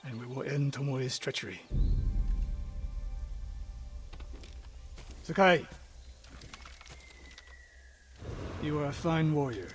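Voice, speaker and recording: A man plays a proud older samurai archery master, bitter and haunted by failure. His speech is stern, formal and clipped, in a middle register. It is dramatic video game dialogue with clear music behind it. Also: mid-range voice, close to an everyday voice. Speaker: archery sensei.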